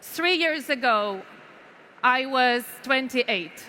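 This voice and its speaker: A Ukrainian woman in her thirties, whose first language is Ukrainian, giving a slow, deliberate keynote speech on a conference stage with pauses between short phrases. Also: sits high, expressive, sharp tone. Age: 30s. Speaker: foundation founder giving a keynote.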